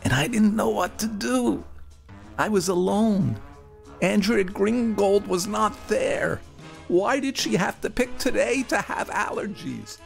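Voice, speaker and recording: Gravelly man telling a scripted memoir. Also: high voice, animated, faint music behind. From Brooklyn, New York, United States. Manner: theatrical, deliberate emphasis with drawn-out words. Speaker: storyteller. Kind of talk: scripted first-person memoir narration, radio storytelling.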